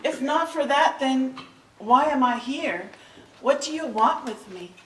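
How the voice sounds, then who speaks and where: Female actor for gentle devout grandmother, stage drama: high, earnest voice, distant roomy sound. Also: measured pace, slight background noise. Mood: hurt, pleading. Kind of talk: theatrical stage reading. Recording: echoey hall, distant mic.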